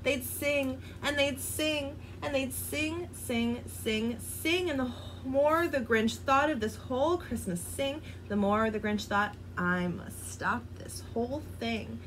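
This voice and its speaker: A woman reading a children's rhyming book aloud, in an expressive, sing-song delivery. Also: sits high, slow pace, hardly any pauses, a little hiss or hum, low-quality recording. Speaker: storyteller.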